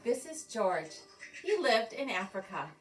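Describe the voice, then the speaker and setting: A woman reading a children's picture book aloud in an expressive, deliberate storytelling manner with dramatic pauses. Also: a little high, distant mic. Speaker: storybook reader.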